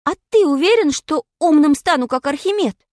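A woman's voice: very high-pitched, sing-song, moderate pace, a bit bright, no room sound, studio quality, voice pushed up, full character voice. A woman voicing an eager, doubting schoolboy in a children's story, full of exclamations and questions. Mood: curious, doubtful.

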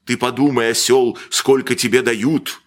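A man voicing a fat, pompous gentleman doctor who drawls in a bossy, bullying bass. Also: steady tone, unhurried, lightly acted.

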